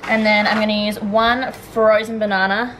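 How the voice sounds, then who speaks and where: A woman's voice: mid-range voice, flat delivery, normal speed, background noise. A woman in her twenties with an Australian accent, chatting casually as a vlogger to a handheld camera at arm's length, upbeat and rambling. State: out of breath after a workout. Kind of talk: casual vlog chat. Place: home kitchen and bedroom, also a gym.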